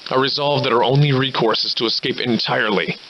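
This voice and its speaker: A man's voice: medium pitch, fairly even intonation, fast, a little hiss or hum, close to an everyday voice. A man voicing a surly, hard-drinking, grandiloquent survivor in a video game, with florid old-fashioned diction, in slightly muffled audio. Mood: grave, determined. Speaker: video game protagonist voice actor. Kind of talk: theatrical video game character dialogue.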